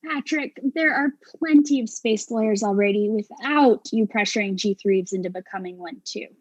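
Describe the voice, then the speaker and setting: A woman's voice: medium pitch, normal speed, dry recording, lightly acted. A woman playing a patient but exasperated wife, dry and reproving, in a comedic audio drama on a dull microphone.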